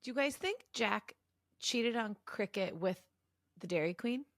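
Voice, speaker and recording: A woman with a fairly high, lively voice banters as a podcast co-host. She is casual and jokey, quick to laugh, with a dry close sound. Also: dry recording.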